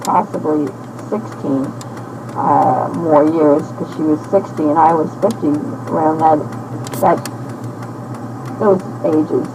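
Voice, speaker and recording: A woman in her sixties talking slowly and haltingly into a personal audio diary, with many pauses and fillers, heard from an old cassette tape. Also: low voice, noisy background. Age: 60s. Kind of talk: rambling spoken diary.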